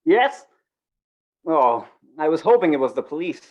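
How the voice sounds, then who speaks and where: A man's voice: fairly high pitch, very animated, measured pace, dull audio, noisy background. An actor playing a grumpy, fed-up man who blusters irritably in an old-time radio drama. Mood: exasperated.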